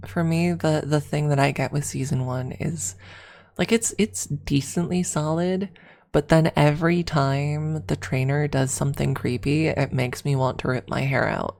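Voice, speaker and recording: A woman's voice: deep voice, gravelly, a little mellow. A woman streamer rambling casually to her viewers on an ASMR livestream, talking in a gushing, hyperbolic fan's manner. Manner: gushing, hyperbolic fan talk. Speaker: streamer answering viewer chat.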